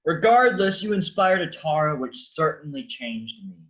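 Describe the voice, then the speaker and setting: An actor playing an awkward, sheepish Lazarus in a staged comedy reading, hesitant and dry. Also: male voice, on the high side, sing-song, moderate pace, a bit bright, highs cut off. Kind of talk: staged reading of a comic play.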